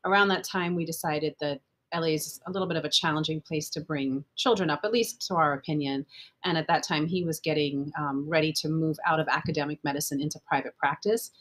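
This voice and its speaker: A woman's voice: low-pitched, fairly even intonation, a little fast. A woman from Guyana, a physician guest, telling chatty personal stories with fillers. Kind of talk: casual interview chat.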